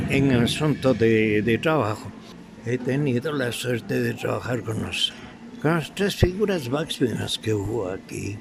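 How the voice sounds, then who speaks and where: Older male interview guest, Quito accent, slow gravelly delivery, halting and fond, noisy recording. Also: mid-range voice. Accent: Ecuadorian, Quito. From Quito, Ecuador. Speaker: guest, veteran radio actor. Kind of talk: reminiscing in an interview. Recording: clearly audible background noise.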